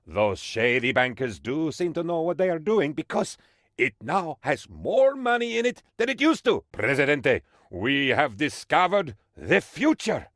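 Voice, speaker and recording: An eager, bumbling dictator's aide from a comic video game, speaking. His pitch swoops about excitably as he reports to his leader with shameless, theatrical officialdom. The recording is dry and close. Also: unhurried, no echo. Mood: pompous, enthusiastic.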